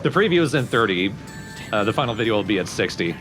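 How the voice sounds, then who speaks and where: Lowish, animated man explaining over game music. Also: fast talker, poor audio. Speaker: let's play commentator. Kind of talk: casual explanatory game commentary. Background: loud video game music.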